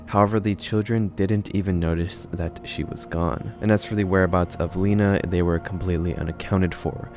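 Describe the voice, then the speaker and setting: A man's voice: deep voice, steady tone, normal speed, few pauses, darkish tone. A man narrating a horror video essay in a conversational, storytelling way. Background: background music.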